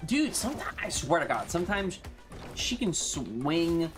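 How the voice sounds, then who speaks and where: A woman's voice: on the low side, big pitch swings, a little slow, faint music behind. A woman live-streaming a video game, chatting casually with slangy, sweary exclamations. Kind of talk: casual gaming stream commentary.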